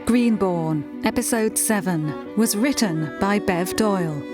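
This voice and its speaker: A woman announcer reading scripted drama credits at an unhurried pace. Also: medium pitch, loud music behind the voice.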